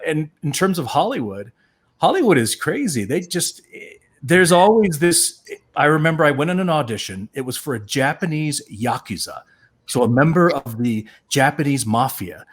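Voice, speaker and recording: A man's voice: medium pitch, a bit bright. A middle-aged man on a discussion panel, an outspoken storyteller who acts out the voices of the people he quotes. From USA. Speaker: actor and panel guest.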